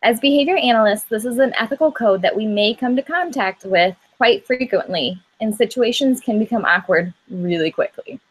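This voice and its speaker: A woman from Indiana, a student moderator reading a scripted introduction to a class debate in a steady, careful way with the odd slip. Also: a little high, normal speed, hardly any pauses, slightly rough, rough recording. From Indiana, USA.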